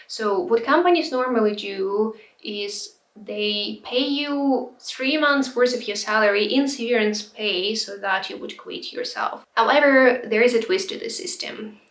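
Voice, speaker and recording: A woman's voice: mid-range voice, fairly bright tone. A woman in her twenties telling her own story on a vlog, frank and reflective, at times hesitant. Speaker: vlogger. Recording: roomy echo, distant from mic.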